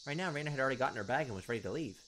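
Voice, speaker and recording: Animated game host reading a story aloud. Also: mid-range voice, rapid-fire, a little hiss or hum. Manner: playful asides to the characters. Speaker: gaming video host. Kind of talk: reading visual novel text aloud with casual reactions. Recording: music behind the voice. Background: game music.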